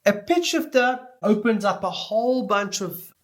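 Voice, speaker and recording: A man giving an explanatory tutorial lecture as an instructor, speaking deliberately and stressing key words as he goes. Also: sits high, expressive. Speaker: music production instructor.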